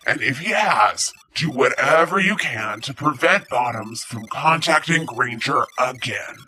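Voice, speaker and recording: An actor playing a coolly menacing, scheming spymaster who talks in a deliberate, formal, insinuating way. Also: male voice, medium pitch, animated, pushed and bright. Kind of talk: audio comedy drama acting.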